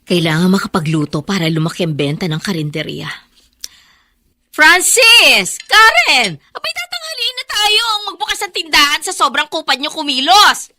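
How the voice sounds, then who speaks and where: A woman's voice: high-pitched, sing-song, normal speed, bright, forceful tone, exaggerated voice. An actress playing an anxious, scolding mother in an emotional, urgent radio drama style. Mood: impatient scolding. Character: anxious, scolding mother running a small eatery.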